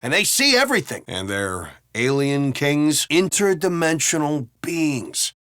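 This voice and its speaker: Brash American cop, lively pitch swings. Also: male voice, measured pace, clear, resonant tone, no room sound, studio quality. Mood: argumentative. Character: brash, griping American male city cop, foul-mouthed. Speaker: video game voice actor. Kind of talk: casual in-game banter dialogue.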